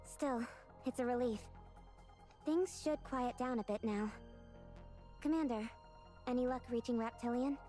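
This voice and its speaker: Bright high-voiced game heroines, voiced by a woman. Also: measured pace, long pauses, faint music behind, natural voice. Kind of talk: voiced video game dialogue.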